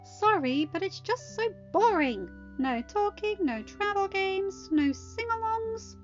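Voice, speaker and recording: A woman voicing a cheerful, hyper male pony who talks in a bouncy, excitable sing-song. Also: extremely high pitch, takes it slowly, highs cut off, faint music behind, voice pushed up, full character voice. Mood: whiny.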